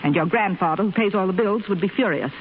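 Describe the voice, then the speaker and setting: An actress playing a firm, crisp head nurse in 1930s radio drama style. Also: female voice, medium pitch, fast talker, lightly acted. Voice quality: crisp, no-nonsense. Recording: tinny, faintly hissy 1930s radio broadcast.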